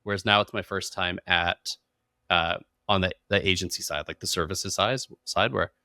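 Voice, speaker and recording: A man's voice: low voice, fairly even intonation, normal speed, bright, forceful tone, no room sound, studio quality. A man hosting a podcast, chatting casually and agreeably in an informal business interview. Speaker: podcast host.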